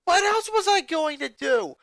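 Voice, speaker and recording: A man reading a fanfic aloud, voicing a cocky sporty girl who shouts and mocks. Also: very high voice, lively intonation, a little slow, gravelly, dry recording, voice pushed up, heavily acted. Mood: taunting. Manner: shouting, mocking. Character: brash tough sporty girl, a jock.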